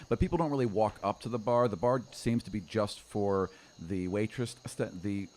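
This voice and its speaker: A man's voice: fairly low pitch, moderate pace, a little mellow. A middle-aged man improvising scene narration as a game master, colloquial and vivid, close on a studio microphone. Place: small indoor podcast studio. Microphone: close podcast microphone on a stand.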